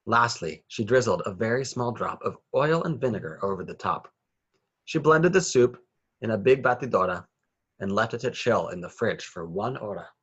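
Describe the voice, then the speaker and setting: A man's voice: lowish voice, animated, moderate pace, fairly bright tone, husky, lo-fi sound. A man reading a children's picture book aloud with rhythmic, sing-song phrasing. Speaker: children's story reader.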